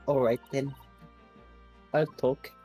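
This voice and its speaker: Victorian street urchin girl witness played by a fan dubber, mellow, hesitant and slangy, music underneath. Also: medium pitch, flat delivery, slow pace, close to an everyday voice. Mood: reluctant. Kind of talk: video game fan dub.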